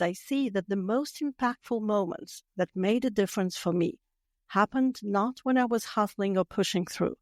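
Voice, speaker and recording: A woman in her fifties from Latvia with a Latvian accent, hosting a podcast in a measured, carefully read monologue. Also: mid-range voice, a little mellow, dry recording, clean studio sound. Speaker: podcast host. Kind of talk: scripted solo podcast monologue.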